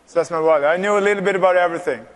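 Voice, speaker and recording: A man in his forties with a fairly high voice, chatting and bantering in front of a conference crowd. He jokes easily and keeps things light between technical points. The long echo of a large hall surrounds him. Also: very fast. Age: 40s. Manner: bantering, jokey, laughs often. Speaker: security presenter. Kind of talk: joking conference co-presentation.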